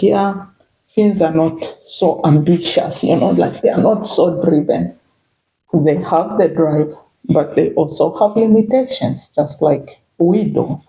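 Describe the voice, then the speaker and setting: A woman's voice: low voice, warm tone. A middle-aged Zambian woman, a native Bemba speaker with a Zambian accent, chatting reflectively as an interview guest and circling her points with "you know". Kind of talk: casual interview chat. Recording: echoey room.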